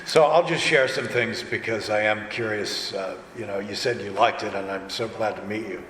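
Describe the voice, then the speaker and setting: A man talking casually as a director and actor on a convention panel, chatty and halting, often restarting his thoughts. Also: lowish voice, fairly bright tone. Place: large convention panel room. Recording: echoey hall, distant, noisy room. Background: room ambience and noise.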